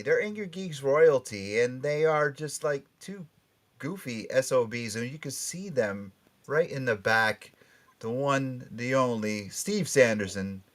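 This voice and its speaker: A man hosting a casual show excitably, with showy announcer flourishes and repeated phrases for emphasis. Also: fairly high pitch. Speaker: podcast host.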